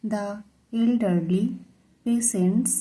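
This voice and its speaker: Very slow, mellow woman tutor. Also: mid-range voice, rough recording. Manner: deliberate word-by-word phrasing. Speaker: English tutor. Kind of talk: slow dictation-style bilingual reading of a model letter.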